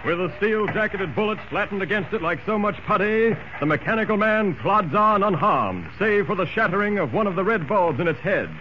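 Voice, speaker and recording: A man's voice: sits high, lively intonation, fairly quick, hardly any pauses. Dramatic, swooping male radio serial narrator. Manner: dramatic, urgent storytelling. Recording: muffled, hissy old radio recording.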